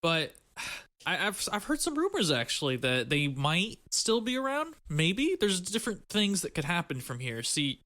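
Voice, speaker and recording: A man's voice: high voice, huge pitch swings, normal speed, pushed and bright, no room sound, studio quality. A man co-hosting a podcast in casual banter, wry, joking and informal. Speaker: podcast co-host.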